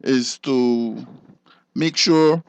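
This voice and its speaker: A man with a Belizean accent giving an informal interview as an enforcement officer, explaining things haltingly and repeating words. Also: medium pitch, gravelly, clean tone, no room sound.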